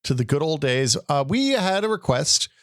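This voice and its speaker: A slightly hoarse man co-hosting a tech podcast, musing aloud in halting questions full of restarts. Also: a little high, very animated, no echo, clean studio sound. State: a little hoarse. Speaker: podcast co-host. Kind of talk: casual tech chat.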